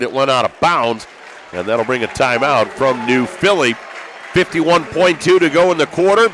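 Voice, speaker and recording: A man's voice: on the high side, fairly bright tone. A man calling live basketball play-by-play as a sports broadcaster. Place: high school basketball gym. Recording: crowd and gym noise behind. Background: gymnasium crowd, bouncing basketball.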